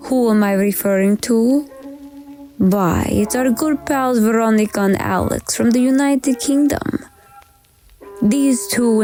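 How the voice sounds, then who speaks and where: A woman's voice: mid-range voice, warm tone, rough recording. A woman giving a dramatic, ominous scripted narration as the show's narrator. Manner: slow, ominous storytelling. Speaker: show narrator. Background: faint music.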